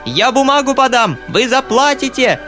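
A shrieking, enraged middle-aged noblewoman, as a male storyteller puts her on, her voice lifted high above his own. She scolds in loud, piercing bursts, and music plays softly underneath. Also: animated, fairly quick. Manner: screeching, scolding. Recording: music playing behind.

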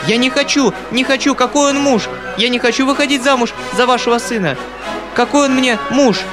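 A man's voice: very high-pitched, a little fast, voice pushed up. A man voicing a frightened young noblewoman who protests in alarm, with music playing behind.

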